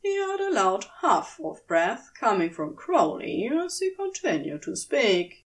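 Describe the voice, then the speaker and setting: A woman reading scripted fan fiction as narrator, in a measured, expressive storytelling manner. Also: mid-range voice.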